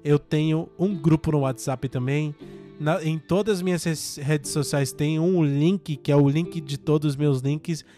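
A man's voice: fairly high pitch, fairly even intonation, measured pace, warm tone, faint music behind. Man, earnest Portuguese learner. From United States. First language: English. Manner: earnest and motivational, with frequent fillers. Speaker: podcast host and language teacher. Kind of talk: casual solo podcast monologue.

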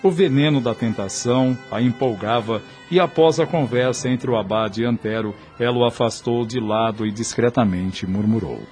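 A man's voice: medium pitch, moderate pace, few pauses, a little mellow. Male radio drama narrator over music. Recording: music under the voice.

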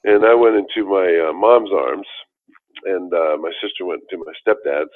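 A man's voice: deep voice, normal speed. An older man telling a long personal story in a casual interview, rambling with many restarts and fillers. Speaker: guest telling his life story. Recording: tinny phone-like line.

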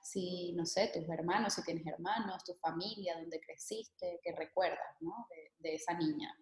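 A woman's voice: lowish voice, steady tone, measured pace, echoey room. A woman hosting an interview, asking questions in a casual, engaged way.

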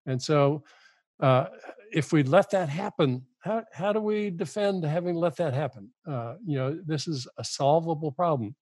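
A man's voice: fairly high pitch, normal speed, a little mellow, no room sound. An older man, a guest author on a podcast, making his case earnestly and often repeating words as he goes. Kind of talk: podcast interview.

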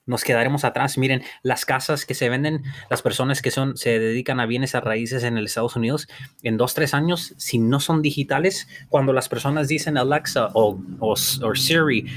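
A man's voice: mid-range voice, moderate pace. Male podcast host, middle voice, earnest and encouraging, restates lines as he goes. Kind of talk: motivational talk.

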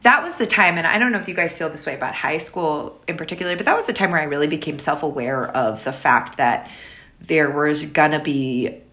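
Woman, 30s, raspy low alto, loose chatty storyteller on a podcast. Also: a little fast, pushed and bright. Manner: rambling, many fillers and restarts. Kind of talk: casual podcast conversation.

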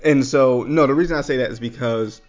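A man hosting a casual podcast, talking in a relaxed, rambling way with lots of fillers. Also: medium pitch, a little fast. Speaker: podcast host. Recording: dull lo-fi sound.

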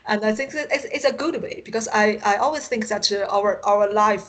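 A woman from China with a Chinese accent, a native Chinese speaker telling stories as an interview guest in halting English, searching for words and often chuckling. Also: mid-range voice. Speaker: interview guest, science fiction writer and translator. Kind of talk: casual interview storytelling. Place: remote internet call.

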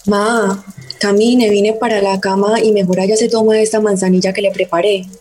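Even-toned young Colombian daughter played by an actress. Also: female voice, medium pitch, moderate pace, lightly acted. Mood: gentle, coaxing. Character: caring young daughter looking after her mother. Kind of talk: radio drama acting.

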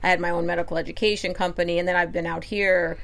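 A middle-aged woman from Connecticut speaks as an interview guest, her voice on the lower side. She talks earnestly and reflectively about her work, pressing her points with emphasis. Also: level tone, fast, fairly bright tone. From Connecticut, USA. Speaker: guest, activist. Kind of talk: interview answers.

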